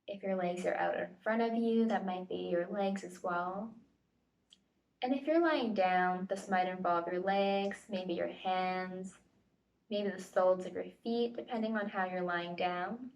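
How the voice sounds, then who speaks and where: A woman facilitator leading a guided body scan meditation with gentle, tentative invitations and pauses, recorded at home. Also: fairly low pitch, gravelly. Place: at home, indoors. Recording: home recording, distant mic.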